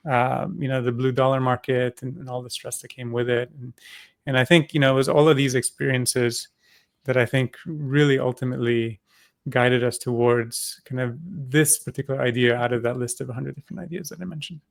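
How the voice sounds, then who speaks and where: A man from Singapore, a company co-founder, talks through an interview answer in a halting way, full of ums and repeated words. His voice sits at an ordinary middle pitch. The recording is dry, with almost no room sound. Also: steady tone, gravelly, no echo. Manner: halting, many fillers and restarts. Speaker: crypto company co-founder, guest.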